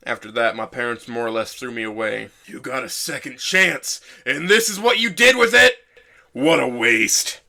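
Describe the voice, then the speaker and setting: An actor playing a bitter, self-loathing young trauma survivor, narrating an audio drama in the first person with a brooding manner. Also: male voice, medium pitch, sharp tone. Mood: furious.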